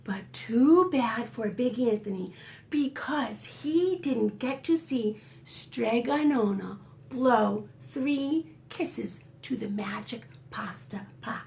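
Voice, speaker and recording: High voiced lady doing preschool storytime, slow n expressive, talks right to the kids. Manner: expressive, with dramatic gasps and whispered asides; gently addresses child listeners. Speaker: children's librarian reading at preschool storytime. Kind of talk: animated picture-book read-aloud for young children.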